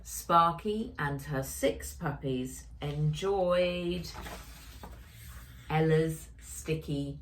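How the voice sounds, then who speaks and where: A woman author reading her children's story aloud in an expressive storytelling style. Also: low-pitched, drawn-out words, a little hiss or hum.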